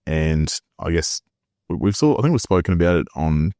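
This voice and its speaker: Gravelly, very deep man. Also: animated, moderate pace, warm tone, dry recording, clean studio sound. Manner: laid-back, joking, swears casually. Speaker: podcast host. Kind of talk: casual podcast conversation.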